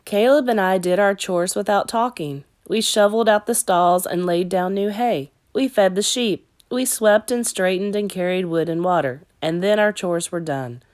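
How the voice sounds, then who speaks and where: A woman's voice: mid-range voice, moderate pace, hardly any pauses, darkish tone. Woman reading a children's story aloud. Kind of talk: expressive children's read-aloud narration.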